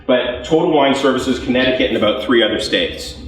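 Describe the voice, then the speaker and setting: A man's voice: mid-range voice, brisk, distant mic. A man with a Canadian accent giving a conversational, jokey live sales presentation to an audience, often tagging points with "okay?". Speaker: business development executive presenting. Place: event room with a live audience. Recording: echoey room.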